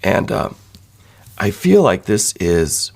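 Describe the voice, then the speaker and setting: A man with a hoarse voice, worn down by laryngitis, giving a soft, thoughtful ASMR tarot reading. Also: fairly low pitch, big pitch swings, takes it slowly. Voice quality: hoarse, breaking into whispers. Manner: soft, thoughtful, with many fillers and repeats. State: losing his voice from laryngitis. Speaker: tarot reader.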